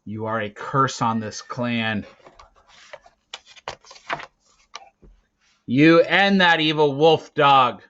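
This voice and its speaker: A man voicing angry, forceful prehistoric clan hunters who accuse, in a storybook reading. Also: mid-range voice, takes it slowly, slight background noise, voice pushed up. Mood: furious. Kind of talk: storybook read-aloud character voice. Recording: dull, lo-fi recording.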